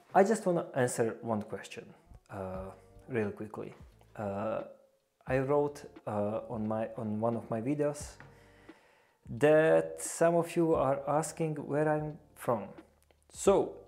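A man's voice: medium pitch, darkish tone. A young man with a Bosnian accent hosting a casual reaction video, speaking hesitantly with many fillers and restarts. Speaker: reaction channel host. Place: small indoor room with a lamp.

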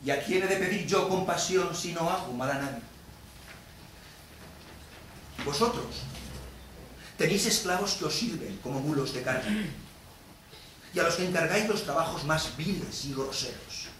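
A man's voice: sits high, sing-song, a little slow, long pauses, sharp tone, heavily acted. A male stage actor playing a bitter, vengeful Venetian moneylender in a declamatory theatrical monologue, aggrieved and defiant. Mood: scornful, reasoning. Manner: declamatory, rhetorical questioning. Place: theatre stage, recorded from a distance. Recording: echoey theatre, distant mic, noisy.

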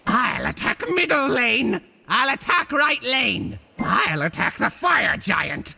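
A man's voice: very high voice, vocal fry, pushed and bright, slight background noise, exaggerated voice. A man voicing a boastful, theatrical cartoon monster villain for a video game, with a hammy, swooping delivery.

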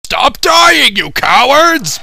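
A man's voice: very high voice, very animated, takes it slowly, creaky, bright, forceful tone, a little hiss or hum, full character voice. A man voicing brash, sarcastic fantasy warriors in an exaggerated comedic anime parody dub. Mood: panicked.

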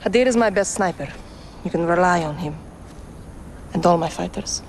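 An actress playing a grave, principled young rebel commander in a game, with a Middle Eastern accent and measured, firm delivery. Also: female voice, lowish voice, a little hiss or hum, natural voice. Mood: grave, resolute. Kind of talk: cinematic game acting.

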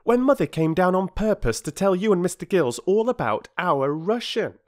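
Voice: medium pitch, lively intonation, normal speed, voice pushed up, lightly acted. A narrator voicing an anxious, forthright schoolboy from a children's storybook, protesting in a well-spoken way. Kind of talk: children's storybook character reading.

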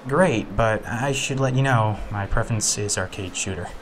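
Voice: medium pitch, moderate pace, slight background noise, lightly acted. A performer voicing a friendly but awkward young man who stammers nervously.

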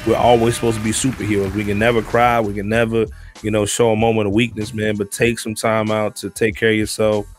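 A man co-hosting a casual podcast chat over a video call, animated and preachy, tacking "man" onto his sentences. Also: lowish voice, quick pace, soft background music. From New York. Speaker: podcast co-host.

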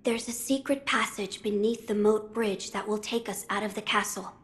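A woman playing a composed young boy lord in a video game, speaking in a formal, measured manner. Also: medium pitch, fairly even intonation, husky, lightly acted. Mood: gentle, serious. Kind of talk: video game cutscene acting.

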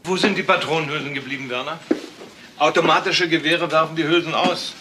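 An actor playing a dryly musing police detective who probes and thinks aloud in a crime radio play. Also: male voice, on the high side, fairly even intonation, a little fast, natural voice. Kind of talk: German crime radio drama acting. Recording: faint background noise.

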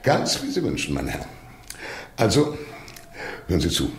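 Very deep, pompous Russian police minister. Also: male voice, huge pitch swings, moderate pace, roomy sound. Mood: silky, courtly. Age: older. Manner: pompous, over-polite, formal. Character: suave, silkily menacing older male Russian minister of police. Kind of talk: radio drama acting.

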